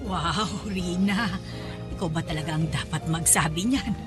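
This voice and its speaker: A woman's voice: on the low side, a little slow, over music, lightly acted. A woman playing a scornful, combative older wife and mother in a Filipino radio drama. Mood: scornful, angry.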